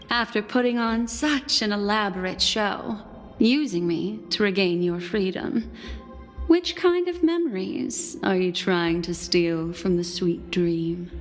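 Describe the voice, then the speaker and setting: A cool, slow-spoken, wary rival. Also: female voice, lowish voice. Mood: dry disdain. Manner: measured, dry, accusing, dismissive. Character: cool, wary, sharp-minded woman confronting an old rival. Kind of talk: dramatic video game voice acting. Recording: long reverb, game music behind.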